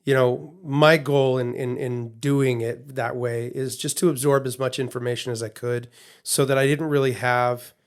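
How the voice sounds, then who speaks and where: A man's voice: medium pitch, fairly even intonation, moderate pace, clean tone. A man co-hosting a podcast in casual chat, rambling and restarting his sentences with repeated words. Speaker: podcast co-host.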